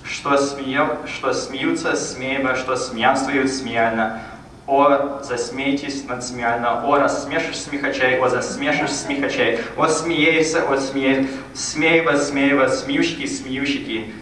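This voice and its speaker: A man's voice: medium pitch, steady tone, measured pace, fairly bright tone. A man reciting a sound poem with an incantatory, repetitive rhythm. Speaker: performer at a recitation event. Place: indoor hall at a live gathering. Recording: very echoey room, distant, faint noise.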